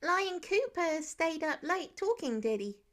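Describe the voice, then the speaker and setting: Woman voicing an excitable, high-pitched little boy. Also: measured pace, heavily acted. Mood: earnest. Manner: childlike chirpy rambling. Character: excitable young boy, bubbly and eager. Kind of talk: storybook-style character reading.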